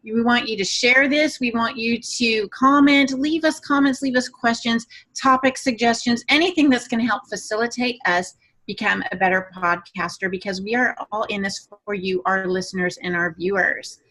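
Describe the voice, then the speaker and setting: Bright, high-voiced woman hosting a podcast. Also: fairly even intonation, normal speed. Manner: playful, teasing banter. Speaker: podcast host and moderator.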